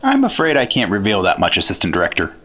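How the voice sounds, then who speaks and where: An actor playing an earnest, straight-laced 1950s FBI agent in a retro radio drama, matter-of-fact and clipped. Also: male voice, lowish voice, huge pitch swings, fast, pushed and bright, slightly rough, exaggerated voice. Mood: guarded. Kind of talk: retro radio drama acting with first-person narration.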